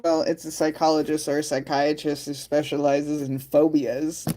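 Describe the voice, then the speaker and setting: Lady streamer chatting casually, kinda low voice, laughs a lot. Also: level tone, moderate pace, warm tone. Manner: playful, laughs often, reads chat aloud. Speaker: livestream host. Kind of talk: casual livestream chat.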